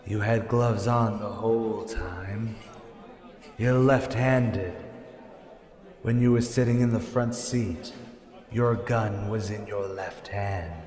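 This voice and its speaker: A man acting a mysterious, commanding avenger in a radio drama, speaking deliberately in an ominous, insistent way. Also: fairly low pitch, steady tone, darkish tone. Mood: menacing, taunting. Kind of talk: radio drama acting in old serial manner. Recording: echoey hall with long reverb.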